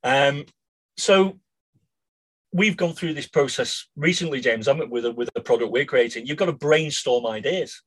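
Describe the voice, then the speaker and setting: Croaky man co-hosting a podcast. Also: mid-range voice, normal speed, rough recording. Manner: addresses listeners as guys, ends lines with "yeah?". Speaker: podcast co-host. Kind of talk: casual business chat.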